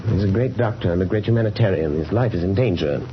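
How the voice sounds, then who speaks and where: An actor playing a calm, assured gentleman sleuth with a reassuring manner in a 1930s radio drama. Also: low-pitched, fast, close to an everyday voice. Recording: muffled, hissy 1930s radio recording.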